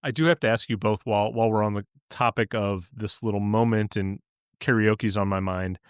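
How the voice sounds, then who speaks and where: Man, 30s, deep low voice, earnest casual talk, dry close sound. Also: steady tone, fairly quick, smooth, no echo. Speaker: podcast guest. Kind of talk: casual chat.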